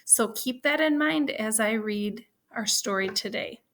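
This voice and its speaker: A woman teaching young children, reading a story aloud and explaining it in a deliberate, instructional way. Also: fairly high pitch, fairly bright tone.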